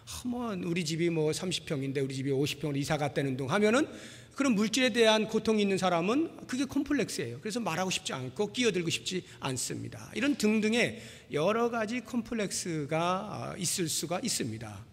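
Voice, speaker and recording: A man's voice: high voice, big pitch swings, brisk, creaky, breathy edge. A man in his forties preaching a sermon as a pastor, telling personal anecdotes in a conversational, self-deprecating way. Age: 40s. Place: large reverberant church hall. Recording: long hall reverb.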